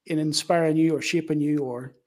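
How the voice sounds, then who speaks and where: Man, podcast interviewer, moderately high pitch, earnest, tentative phrasing, conversational. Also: steady tone, a little fast, a little mellow.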